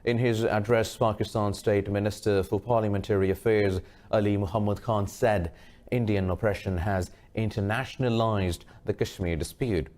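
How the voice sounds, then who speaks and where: A man reading a scripted news bulletin as anchor, formal and measured. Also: fairly low pitch, fairly even intonation, a little mellow.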